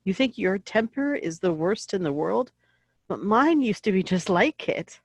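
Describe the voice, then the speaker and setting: Woman playing a gentle, wise mother, close dry sound. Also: medium pitch, lively intonation, no room sound. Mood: gently earnest. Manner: tender, earnest, measured counsel. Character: gentle, wise middle-aged mother, patient and devout. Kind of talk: audio play acting.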